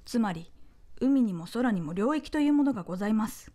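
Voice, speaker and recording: Woman acting soft-toned, courteous royal envoy. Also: fairly high pitch, expressive, natural voice. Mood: composed diplomatic. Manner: very polite, measured, deferential. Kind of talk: radio drama acting, formal diplomatic speech.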